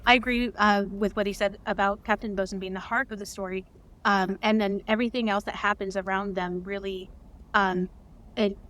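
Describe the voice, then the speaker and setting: Woman guest explaining in medium pitch. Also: a bit bright. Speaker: interview guest, director and writer. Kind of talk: casual interview talk.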